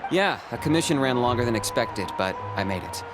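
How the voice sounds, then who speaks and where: A man voicing a calm young man in a game, measured and terse. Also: medium pitch, music in the background, natural voice. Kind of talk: game voice acting. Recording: game mix with crowd noise.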